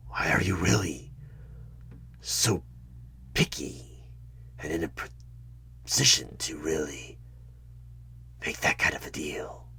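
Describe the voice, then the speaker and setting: A man voicing a sly, coaxing demon recruiter in a husky whisper for a horror ASMR audio roleplay. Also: a bass voice, pushed and bright, heavily acted. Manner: slow, drawn-out, insinuating, persuasive.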